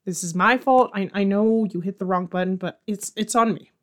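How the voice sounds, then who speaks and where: A woman's voice: mid-range voice, moderate pace, lightly acted. A woman playing a flustered, placating night radio host in an audio comedy, chatty and rambling, often interrupting herself. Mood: apologetic, soothing. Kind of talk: audio comedy drama in radio show format.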